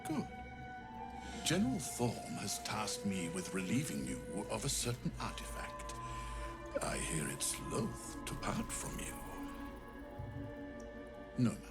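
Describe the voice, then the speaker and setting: A sinister henchman from a fantasy game. His low voice swings widely in pitch, formal and coolly menacing, with loud music behind it. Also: wildly swooping pitch, takes it slowly, pauses often, full character voice. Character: sinister, leisurely male servant of a dark general. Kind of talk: dramatic video game villain acting.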